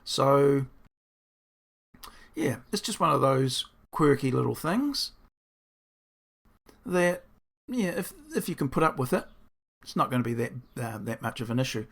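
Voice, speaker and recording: Male builder, croaky and gravelly, middle-pitched, rambling casual diary talk. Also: big pitch swings, unhurried, pauses often. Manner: rambling, thinking aloud, self-deprecating. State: croaky, hoarse. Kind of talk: casual diary-style explanation.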